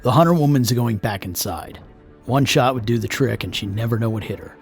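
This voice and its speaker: A man acting as a hateful zealot thug in an audio drama. His voice is medium-pitched, clipped and contemptuous, with music playing underneath. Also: a little fast, close to an everyday voice. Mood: hateful, eager. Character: hateful trigger-happy male zealot henchman on surveillance.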